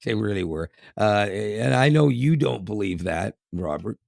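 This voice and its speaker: A hoarse man from Canada, co-hosting a casual podcast in a rambling way, with restarts and chuckles. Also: on the low side, lively intonation, a little slow, a little mellow, no room sound, studio quality. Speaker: podcast co-host.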